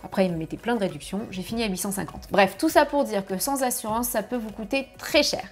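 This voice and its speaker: A woman in her thirties giving travel tips to camera from her home office, lively and chatty, on a lapel mic. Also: mid-range voice, fast. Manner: lively, chatty, gesturing with hands. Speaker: family travel vlogger. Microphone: clip-on lapel mic.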